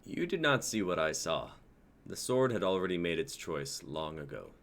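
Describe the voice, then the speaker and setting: A man's voice: deep voice, sing-song, moderate pace, fairly bright tone, heavily acted. A man playing a commanding principal of a knights' council, solemn and proclamatory, in an amateur fantasy drama reading. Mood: stern.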